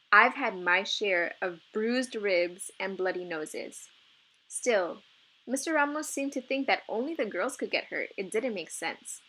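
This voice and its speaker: A woman's voice: medium pitch, bright, forceful tone, poor audio. Woman reading a children's novel aloud. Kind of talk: expressive storybook read-aloud.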